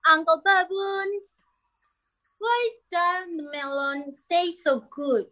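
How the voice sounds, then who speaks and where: A curious, polite baby elephant acted by a young woman in a readers theater. The voice is very high and childlike, and it asks its questions slowly, stretching out the words. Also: sharp tone, full character voice. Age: young adult. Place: room at home, on video call. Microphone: video call microphone.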